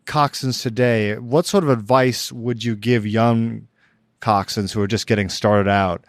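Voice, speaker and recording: A man hosting a podcast, asking measured, courteous interview questions. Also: medium pitch, steady tone, no room sound, clean studio sound. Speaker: podcast host.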